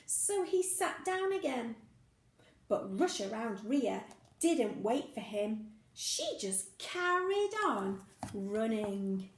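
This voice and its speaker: High-pitched woman reading animatedly to young children. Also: slow, echoey room, low-quality recording. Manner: expressive, playful sound effects, exaggerated delivery. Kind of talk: animated children's read-aloud with questions to the listener.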